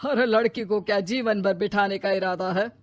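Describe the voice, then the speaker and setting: A woman's voice: mid-range voice, fairly even intonation, moderate pace, background noise, lightly acted. A woman voicing a middle-aged pensioner father in a cartoon story, plain-spoken and homely, content but a little wistful. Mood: pleased, curious.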